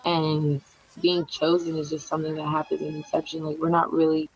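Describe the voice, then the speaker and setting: A woman's voice: low voice, level tone, a little fast, a little mellow, husky. A young woman filmmaker giving reflective interview answers, loose and full of fillers, in a muffled recording. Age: young adult. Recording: dull, muffled audio.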